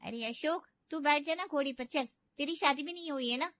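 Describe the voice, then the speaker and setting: A woman playing a young, bossy elder sister in an animated comedy story, scolding and teasing with sarcasm. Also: high voice, brisk, no room sound. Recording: dull, lo-fi studio voice-over.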